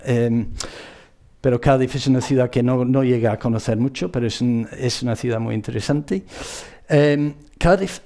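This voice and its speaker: Mellow British man presenting, halting delivery. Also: mid-range voice. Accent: British English. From Bristol area, England. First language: English. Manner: halting, searching for words, repeating himself. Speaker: conference speaker. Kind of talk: public presentation with examples.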